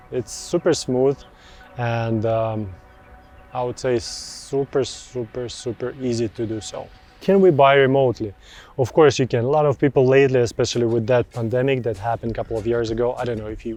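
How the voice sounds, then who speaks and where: A man in his thirties from Russia, speaking English with a Russian accent as a real estate broker, answering viewer questions in a relaxed, chatty way and often repeating words for emphasis. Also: mid-range voice. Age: 30s.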